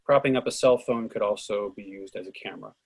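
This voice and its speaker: A man with a husky voice reading out a play's stage directions and cues as scripted narration. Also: lowish voice, fast.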